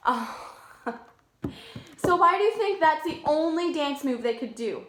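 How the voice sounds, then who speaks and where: A woman's voice: sits high, lively intonation, unhurried, background noise. A woman preschool teacher reading aloud to young children, talking simply and putting questions to them. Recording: echoey room.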